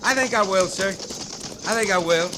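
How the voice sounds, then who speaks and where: Actor as dutiful army sergeant, noisy old radio. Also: male voice, high-pitched, normal speed, close to an everyday voice. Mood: contented. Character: dutiful, easygoing male army sergeant, frontier scout liaison. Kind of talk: 1950s radio western acting. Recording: noisy vintage 1950s radio recording.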